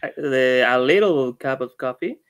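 Male co-host, clear smooth voice, friendly, short interjections. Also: medium pitch, animated, moderate pace. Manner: friendly, brief agreeing interjections. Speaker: podcast co-host. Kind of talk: casual video-call interview.

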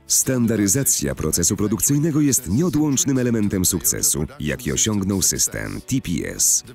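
A man with a deep, low voice narrates a corporate presentation. His delivery is formal and measured, with lively rises and falls in pitch. Soft music plays behind his studio-recorded voice. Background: faint music.

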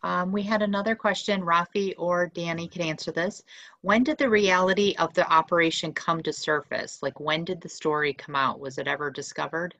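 A woman's voice: lowish voice, fairly even intonation, brisk, fairly bright tone, muffled recording. A woman moderating a video talk who reads out audience questions in a plain, matter-of-fact way. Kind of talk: reading questions aloud.

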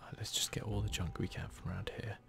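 British guy doing asmr gaming commentary, low whispery voice, bit of gravel in it. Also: flat delivery, fast talker. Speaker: gaming video host.

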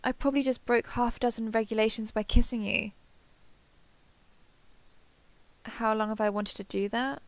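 A woman playing an earnest, affectionate starship captain in an intimate sci-fi audio roleplay, speaking haltingly and confessionally. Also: a little high, steady tone, no echo, close to an everyday voice. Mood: flustered, tender. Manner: hesitant, halting, apologetic, confessional.

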